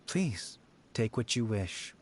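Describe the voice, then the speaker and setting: A man voicing a calm, courteous, cryptic fantasy game character in a reserved, polite manner. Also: lowish voice, slow, a little hiss or hum. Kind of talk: video game character acting.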